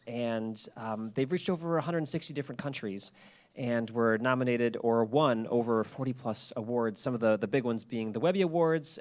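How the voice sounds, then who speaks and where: A man co-presenting an informal workshop as a podcast creator, with a measured, agreeable way of speaking. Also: medium pitch.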